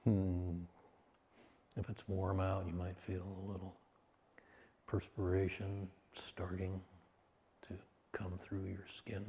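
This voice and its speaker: A man leading a guided meditation as its teacher, soothing and unhurried, speaking in short phrases with long pauses. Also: low voice, monotone, mellow, slight background noise. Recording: hall-like long reverb.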